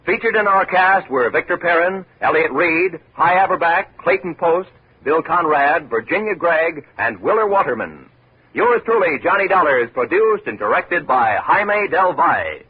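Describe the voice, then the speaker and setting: A man's voice: on the high side, animated, moderate pace, a little hiss or hum. An American man reading scripted credits as a 1950s radio announcer, formal and declamatory. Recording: tinny, rough 1950s radio recording.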